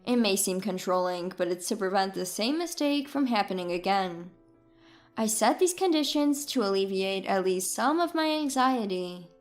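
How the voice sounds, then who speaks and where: A woman's voice: medium pitch, lively intonation, moderate pace, soft background music. A woman reading a scripted first-person story as its narrator.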